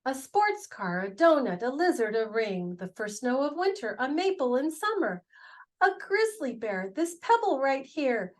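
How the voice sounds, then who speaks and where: A woman who hosts a children's storytime, reading a picture book aloud in an expressive, animated way. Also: high-pitched. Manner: animated, unhurried delivery. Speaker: children's storytime host, reader.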